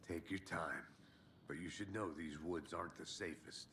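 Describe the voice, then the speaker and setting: An actor playing a gruff, no-nonsense FBI agent in a video game, dry, terse and sardonic. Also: male voice, low-pitched, moderate pace, fairly bright tone, a little hiss or hum. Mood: dry, steady. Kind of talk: video game drama acting.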